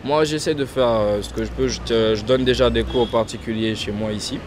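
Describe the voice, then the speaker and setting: A young man from Guinea, a painter, answering interview questions in a casual, hesitant way with many restarts and softly trailing phrases. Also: mid-range voice, fairly even intonation, brisk. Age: young adult. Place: outdoors in front of a painted backdrop, street traffic nearby. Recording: outdoor street noise behind voice.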